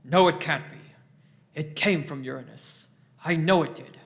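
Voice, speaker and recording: Man as scheming politician, defensive, emphatic, fairly high voice, sci-fi radio play. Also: normal speed, close to an everyday voice. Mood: desperate, blaming. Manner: emphatic, self-justifying protests. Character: scheming, defensive male politician turned desperate ally.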